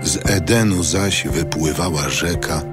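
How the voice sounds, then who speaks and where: A man narrating scripture from a script in a solemn, measured, formal manner. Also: a bass voice. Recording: loud music under studio narration.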